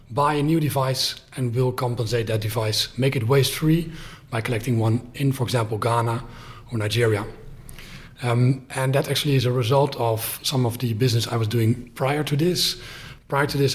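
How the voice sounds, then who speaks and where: A man in a podcast conversation, explaining his work briskly and plainly. His voice sits at an everyday middle pitch, and he drops in fillers as he goes. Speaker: guest, company founder. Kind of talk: podcast interview.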